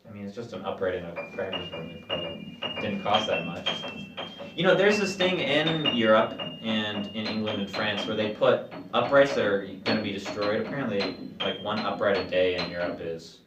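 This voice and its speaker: A young man chatting casually on a podcast among friends, rambling with many fillers and quick bursts. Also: fairly low pitch, animated, muffled recording. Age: young adult. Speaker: podcast host. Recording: echoey room, mic far off, music behind.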